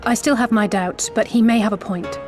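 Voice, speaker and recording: An actress playing a cool-headed, shrewd adventuring companion in a video game, matter-of-fact and direct. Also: female voice, on the high side, fairly even intonation, fairly quick, darkish tone, faint music behind, close to an everyday voice. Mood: skeptical, measured. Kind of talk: scripted video game character dialogue.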